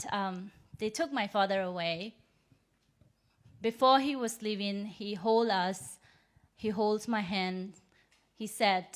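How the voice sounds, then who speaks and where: A woman in her thirties from Myanmar with a Burmese accent, whose first language is Rohingya, giving a measured, earnest personal testimony as an invited speaker. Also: mid-range voice. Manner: measured, earnest storytelling with pauses.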